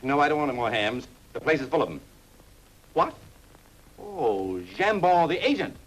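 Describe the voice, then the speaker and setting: Blunt, impatient showman; quick wisecracks, lively pitch. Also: low-quality recording. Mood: busy phone.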